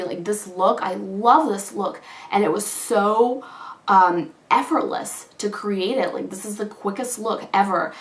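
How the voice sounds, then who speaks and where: A woman with a slightly hoarse voice from a cold, chatting casually to camera in a product review, gushing and full of fillers. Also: mid-range voice, echoey room. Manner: chatty, gushing, many fillers like "like" and "you know". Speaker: beauty vlogger.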